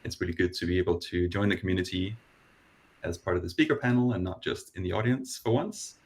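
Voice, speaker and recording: A man from Denmark with a softened Danish accent, introducing himself on a video-call panel in a modest, self-deprecating way with halting restarts. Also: low voice. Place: London.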